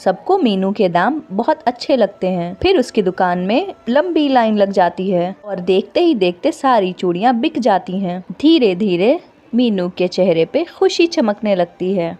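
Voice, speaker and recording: A woman's voice: mid-range voice, very animated, a little slow, mellow. A woman reading scripted narration for a children's cartoon story.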